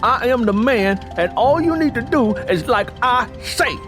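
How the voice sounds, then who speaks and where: A man's voice: very high voice, lively intonation, unhurried, pitched above the performer's own voice. A man voicing a domineering, hard-drinking farmer husband in an audiobook, with an emphatic, folksy, preaching manner. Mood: angry, domineering. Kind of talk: dramatized audiobook character dialogue. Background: music bed.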